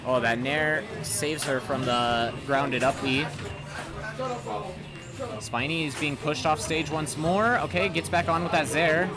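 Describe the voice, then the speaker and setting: A man doing steady play-by-play commentary on a fighting game tournament match. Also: fairly high pitch. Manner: steady play-by-play, dry jokes. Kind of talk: esports commentary. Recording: game music underneath.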